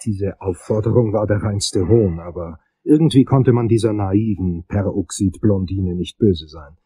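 Sardonic uneasy detective, low dark voice, first-person radio play narration. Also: male voice, measured pace, breathy edge, no echo. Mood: sardonic observation. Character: wry, anxious male undercover investigator narrating his story.

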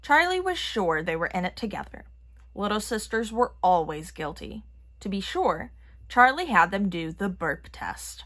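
A woman reads a children's picture book aloud at a slow, deliberate pace. Her delivery is expressive, with a lively, widely moving pitch. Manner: expressive, acting out characters' lines. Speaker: storybook reader.

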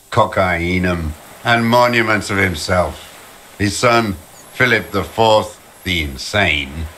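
An actor playing a bitter, weary old communist deserter in a video game, ranting with disdain. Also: male voice, low voice, sharp tone, slight background noise, exaggerated voice. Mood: contemptuous. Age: older. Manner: embittered, ranting, slow deliberate delivery. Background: music.

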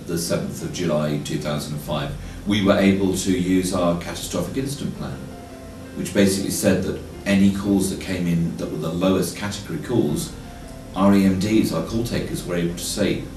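A man's voice: low-pitched, fairly even intonation, fairly quick, darkish tone, faint music behind. A man who manages an ambulance service, speaking matter-of-factly in a documentary interview. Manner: matter-of-fact. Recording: distant, echoey mic.

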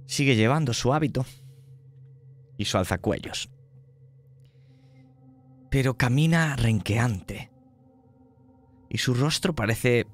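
A man as game master, narrating a tabletop horror game in an unhurried, descriptive way. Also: mid-range voice.

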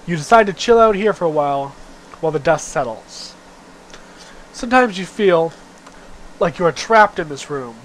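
A man's voice: a little high, expressive, moderate pace, pauses often, a little hiss or hum, poor audio. A young man giving an amateur, theatrical read-aloud of a story, with the odd stumble. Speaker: reader of a webcomic read-aloud.

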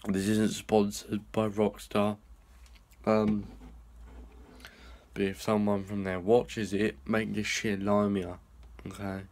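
A man's voice: fairly low pitch, level tone, slow pace, darkish tone. A young man, rambling and swearing in a casual vlog filmed on a phone held at arm's length. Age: young adult. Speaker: vlogger. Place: small indoor rooms and a hallway.

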